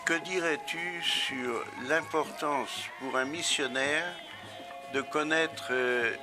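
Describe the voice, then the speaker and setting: A man's voice: on the high side, takes it slowly, music in the background. A man introducing a documentary segment and asking interview questions, with a few hesitations.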